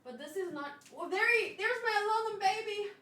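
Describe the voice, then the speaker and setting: A woman hosting a casual livestream, thinking aloud and exclaiming as she chats with viewers in an animated way. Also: very high-pitched, fairly quick. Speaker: livestream host. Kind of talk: casual livestream chat, reading and answering viewers.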